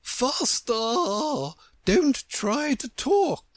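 A man voicing an imperious, brisk, bossy yet kindly chess Queen from a storybook, in a shrill, swooping voice with drawn-out, emphatic delivery. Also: wildly swooping pitch, no echo, exaggerated voice. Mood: urgent, commanding. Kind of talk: storybook character voice.

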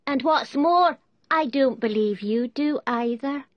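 A man's voice: extremely high pitch, takes it slowly, no room sound, dull audio, voice pushed up. A man voicing a cheeky, scornful young eaglet with an impudent, challenging manner.

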